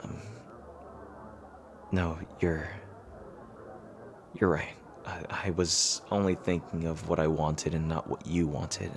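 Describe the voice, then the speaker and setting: Deep-voiced earnest young-hearted rival king, played by a man. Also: noisy background. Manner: hesitant, halting, earnest. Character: earnest lovestruck rival king proposing to an enemy knight. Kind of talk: romantic audio roleplay acting, intimate ASMR.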